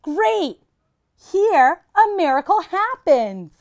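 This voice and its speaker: A woman's voice: extremely high pitch, big pitch swings, extremely slow, darkish tone, voice pushed up, full character voice. A woman voicing an eager, boastful little boy in a storybook, chanting and exclaiming. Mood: excited.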